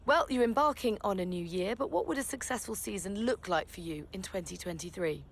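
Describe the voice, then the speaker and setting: An actress playing a motorsport TV reporter, asking polished, direct questions in a game cutscene interview. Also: female voice, medium pitch, lively intonation, a little fast, slight background noise, close to an everyday voice. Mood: probing. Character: poised, probing female motorsport TV reporter.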